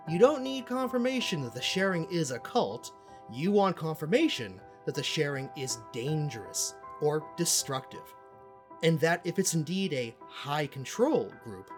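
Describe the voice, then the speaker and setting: An actor playing a self-important cult expert and investigator in an audio drama, speaking in a pompous, dramatic way with deliberate pauses. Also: mid-range voice, close to an everyday voice. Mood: lecturing, knowing. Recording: music playing under the voice.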